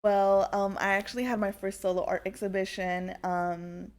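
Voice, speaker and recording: A woman's voice: mid-range voice, flat delivery, moderate pace. A woman in her twenties, born in Mexico and raised in California, talking as a guest artist, hesitant and giggly with many ums. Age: 20s. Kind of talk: casual interview. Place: art gallery.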